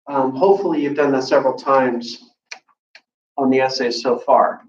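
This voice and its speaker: An American man giving an informal classroom explanation as a college instructor, easygoing and hesitant, pausing mid-sentence. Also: medium pitch, steady tone. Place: classroom with students. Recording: echoey room, distant muffled mic.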